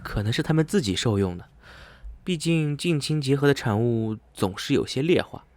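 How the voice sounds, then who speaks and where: A man plays an educated, sceptical young-minded traveller in a radio play. He reasons things out in a measured, curious tone at a medium male pitch. Faint noise sits behind the voice. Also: animated, close to an everyday voice. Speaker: actor in an amateur student radio drama. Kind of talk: radio drama acting.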